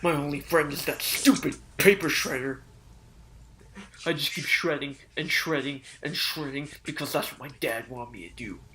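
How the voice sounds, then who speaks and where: A man voicing a desperate office worker who rants and yells near tears, in a comedy sketch. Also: on the high side, moderate pace, a little hiss or hum, poor audio. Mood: ranting. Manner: yelling, ranting, near tears. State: shouting. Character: fed-up young male office worker, desperate, exploding. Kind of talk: comedy voice acting.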